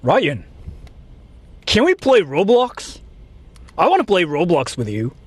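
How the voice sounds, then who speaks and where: Animated higher-pitched man, casual livestreamer. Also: takes it slowly, slightly rough. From Japan. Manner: rambling, repetitive, profane. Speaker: livestream host. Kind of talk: casual livestream chat with viewers.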